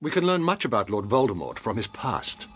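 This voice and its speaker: An older man playing a wise, grave wizard headmaster in a video game, speaking in a measured, formal way. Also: on the low side, sing-song, loud music behind the voice. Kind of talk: scripted cinematic game acting.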